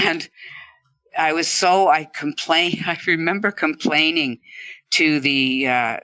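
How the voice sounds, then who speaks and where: A man telling an interviewer about his stroke in a relaxed, conversational way, laughing now and then. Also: a little high, takes it slowly. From Canada. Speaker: stroke survivor guest.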